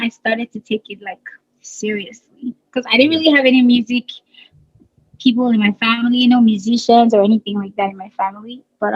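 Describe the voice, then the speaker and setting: Nigerian woman in her thirties, relaxed interview guest, quick to laugh, a touch high-pitched, heard over a dull, dry line. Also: dry recording. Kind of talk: casual interview talk.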